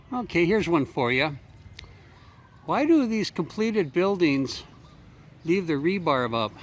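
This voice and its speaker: A man chatting casually in a rambling, folksy, joking way as a travel vlogger walking along. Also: fairly high pitch. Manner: folksy, joking, informal mild swearing. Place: outdoors, walking along streets. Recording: outdoor street noise, passing vehicles.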